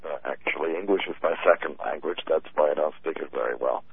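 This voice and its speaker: A man's voice: very deep voice, fast talker, vocal fry, husky, no room sound. A man who grew up speaking German, chatting casually as a guest, rambling with many fillers and laughs. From United States. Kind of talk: casual chat. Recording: tinny phone-line sound.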